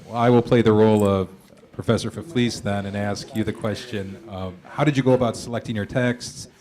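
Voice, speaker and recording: A man's voice: fairly low pitch, fairly even intonation, fairly quick, a little mellow. A man moderating a live event and putting questions to the performers. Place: live readers theater event at a college library.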